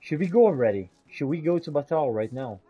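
A man's voice: fairly high pitch, expressive, fairly quick, slightly rough, dull audio. A man giving gameplay commentary in character, talking theatrically to the game's characters. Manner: theatrical, talks to game characters. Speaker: player roleplaying a game character.

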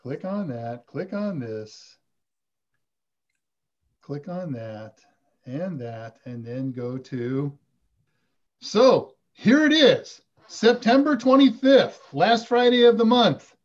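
Older male host, high voice, dull video-call sound, casual Q&A chat. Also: slow, long pauses. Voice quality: fairly high voice. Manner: relaxed, chatty, reads viewer questions aloud. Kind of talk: live stream Q&A chat. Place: home room with curtains. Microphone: video call webcam.

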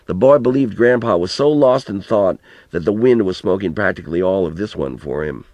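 A man's voice: deep voice, lively intonation, fairly quick, darkish tone, no echo. A man reading literary fiction aloud as a scripted story narration. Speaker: audiobook narrator.

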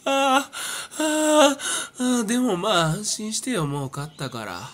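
Smug young male schemer for audio drama: suave, mocking, slow, swooping delivery. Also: high voice, expressive, fairly bright tone. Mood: manic glee. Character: smug, theatrical young male madman relishing a deadly game. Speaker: voice actor. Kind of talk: anime-style voice drama acting.

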